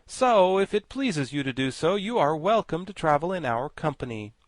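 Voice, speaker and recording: A man voicing a kind-hearted, earnest tin man who speaks out loudly. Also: a little high, animated, moderate pace, no echo, studio quality, voice pushed up, natural voice.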